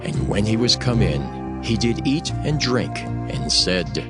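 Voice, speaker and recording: A man narrating a dramatized scripture reading in a solemn, measured storytelling manner. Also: fairly low pitch, sing-song, loud music behind the voice.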